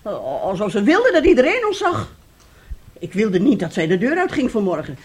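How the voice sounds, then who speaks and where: A woman's voice: a little high, big pitch swings, moderate pace. An actress playing a fussy, scheming older mother in a radio play, theatrical and effusive, repeating herself. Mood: agitated. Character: fussy, scheming older mother, gushing then bitterly nagging. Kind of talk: Dutch radio play acting.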